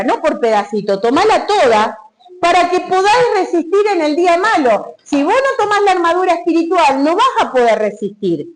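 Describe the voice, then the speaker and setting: A woman's voice: extremely high pitch, rough recording. A woman preaching a sermon, emphatic, and putting questions straight to the congregation.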